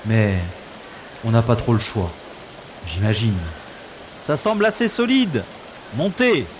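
A man's voice: mid-range voice, wildly swooping pitch, takes it slowly, slight background noise. A man playing a wisecracking male secret agent in a French science-fiction audio drama, with dry, ironic delivery. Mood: narrating calmly. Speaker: lead character in an audio drama. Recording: rain and water sound effects behind.

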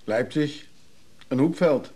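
A man's voice: medium pitch, slow pace, dark tone, breathy edge. An actor playing an easygoing fixer down on his luck in a Dutch radio play, speaking in a casual, laconic way. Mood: eager, explaining.

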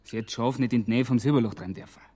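An actor playing a shrewd, determined investigator with a Bavarian accent, explaining emphatically in colloquial dialect in a radio crime drama. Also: male voice, medium pitch, quick pace, dark tone, close to an everyday voice. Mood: intent, conspiratorial. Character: shrewd, determined male investigator piecing together a village conspiracy.

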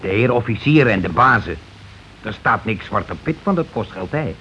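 Bossy working-class husband, muffled recording. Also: male voice, fairly low pitch, lively intonation, normal speed, pushed and bright. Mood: businesslike. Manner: blunt, colloquial, argumentative. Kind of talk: Dutch radio play acting. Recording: dull, noisy old radio recording.

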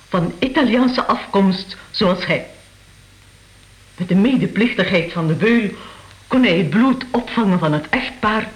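A woman's voice: mid-range voice, close to an everyday voice, low-quality recording. An actress playing an aristocratic lady who tells her family's history in a stately, formal, theatrical way for a radio drama.

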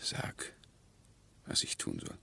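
Bright, forceful impassioned baron. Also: male voice, takes it slowly, in a whisper. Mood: hushed, pleading. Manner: impassioned, dramatic, swinging from hushed to shouting. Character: passionate, anguished baron in love, headstrong and impulsive. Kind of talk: German radio play acting.

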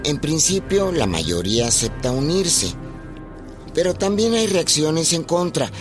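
Mexican guy narrator, scripted history radio, lively up and down delivery, music behind. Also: on the high side, unhurried.

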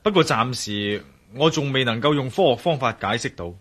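An actor playing a calm, rational male investigator in a radio drama, speaking patiently with a Hong Kong Cantonese accent. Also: mid-range voice, moderate pace. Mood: calm, skeptical. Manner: composed, reasoning, patient.

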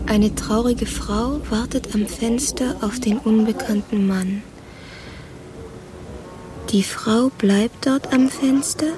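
An actress playing a wistful storyteller in a poetic radio play. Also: female voice, a little high, steady tone, slow, a crowd in the background.

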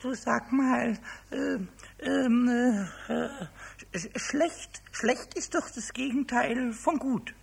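A man playing a cheeky, anxious little kobold in a children's radio play, stammering and exclaiming in a husky voice. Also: very high voice, drawn-out words, full character voice. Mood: worried, hesitant.

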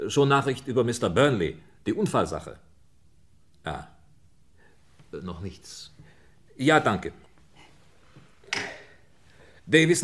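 Actor as a brisk, insistent police inspector. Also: male voice, mid-range voice, lightly acted. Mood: businesslike. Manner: businesslike, insistent questioning. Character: brisk, persistent police inspector questioning suspects. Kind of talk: German radio crime play acting.

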